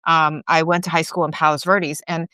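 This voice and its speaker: A woman's voice: sits low, flat delivery, moderate pace, sharp tone, clear, resonant tone, no echo, clean studio sound. A woman in her forties, from Taiwan, talking candidly and upbeat in an interview, with lots of "like" and small laughs. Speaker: guest, gym CEO. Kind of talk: casual interview chat.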